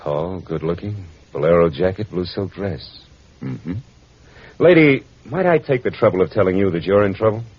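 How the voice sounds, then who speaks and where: An American actor playing a wry, world-weary private detective in 1940s radio noir style, clipped and wisecracking. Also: male voice, sits low, animated, moderate pace, husky. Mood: sardonic. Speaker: radio drama lead actor. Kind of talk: 1940s radio noir acting, narration and dialogue. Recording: muffled vintage radio, music behind.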